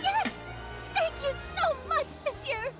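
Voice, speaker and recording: A woman playing an excitable, bold young female reporter in a video game. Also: very high-pitched, slow pace, over music, heavily acted. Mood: thrilled.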